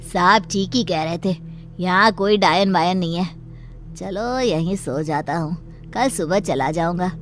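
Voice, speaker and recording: A woman's voice: lowish voice, a little slow, faint music behind, close to an everyday voice. A woman voicing a humble, dismissive servant man in a cartoon.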